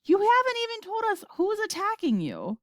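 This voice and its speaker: A woman voicing an excitable, impatient male president in a children's story, yelling in exasperation. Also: lowish voice, very slow, no room sound, clean studio sound, heavily acted. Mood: baffled alarm.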